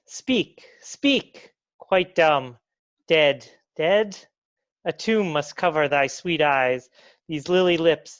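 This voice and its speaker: Amateur player as lovelorn mourning maiden, hammy stage tragedy played for comedy; slow, mournful delivery, muffled lo-fi call. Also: mid-range voice, no echo, close to an everyday voice. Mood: shocked grief. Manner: wailing, mournful, overwrought. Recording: dull, lo-fi video call.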